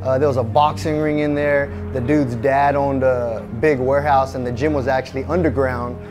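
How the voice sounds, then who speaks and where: Casual gritty-talking gym owner. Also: male voice, mid-range voice, steady tone, moderate pace. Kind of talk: documentary interview. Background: music.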